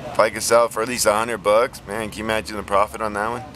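A man with a lowish voice talks plainly through a casual vlog, stopping often to restart. Recorded on a handheld camera outdoors, with faint outdoor ambience and some car noise behind him. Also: level tone, a bit bright. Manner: plain, halting, many ums and restarts. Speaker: flea market reseller vlogger.

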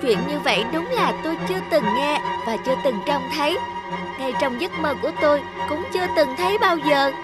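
A woman's voice: high voice, expressive, brisk, loud music behind the voice. A woman voicing a clever, cunning male cat in a cartoon fairy tale, eloquent and courtly in manner. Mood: sly, flattering.

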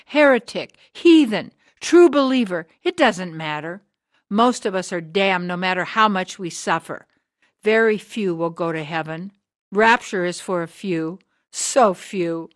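An actress as a hard, driven woman of sixty in a radio drama. She delivers her indictment slowly, with weighty rhetorical pauses. The sound is close and dry. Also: medium pitch, no room sound, natural voice. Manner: deliberate, rhetorical, emphatic pauses. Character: hard, driven sixty-year-old woman, bitter anti-church preacher.

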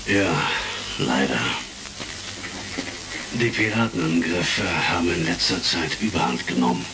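An actor playing a worried, measured and authoritative intelligence chief in a German sci-fi audio drama. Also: male voice, low-pitched, expressive, gravelly, heavily acted. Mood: concerned, official. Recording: distant, noisy retro crackle.

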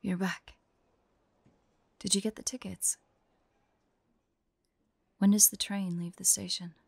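A woman playing an anxious, forlorn young female hunter in an intimate audio roleplay, soft and breathy, often dropping to whispers. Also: mid-range voice, flat delivery, slow pace, dry recording, heavily acted.

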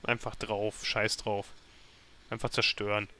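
A man's voice: lowish voice, level tone, measured pace, sharp tone. Grumbling man playing a game. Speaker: let's player hosting a gameplay series. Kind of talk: casual gaming commentary, thinking aloud.

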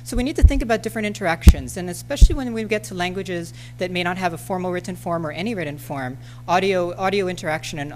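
Woman panelist, 30s, deep low voice, hesitant with frequent fillers, podium mic with faint hum. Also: low-quality recording. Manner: hesitant, many ums and restarts. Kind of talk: conference talk with slides. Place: lecture hall. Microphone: podium microphone.

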